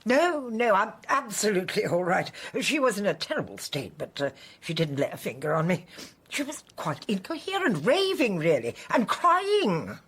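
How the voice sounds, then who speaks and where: Husky, composed British woman. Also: mid-range voice, very animated, normal speed. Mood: shaken but composed. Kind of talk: radio drama acting.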